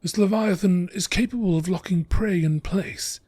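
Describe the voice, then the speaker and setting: Guy reading game lore like a nature doc, measured n informative, kinda husky voice. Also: high voice, a little mellow, dry recording. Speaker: reader of video game text. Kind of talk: scripted nature documentary narration.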